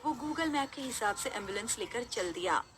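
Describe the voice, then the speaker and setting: ऊँचे सुर वाली महिला कथावाचक. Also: female voice, on the high side, lively intonation, normal speed, background noise. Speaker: story narrator. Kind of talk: scripted horror story narration.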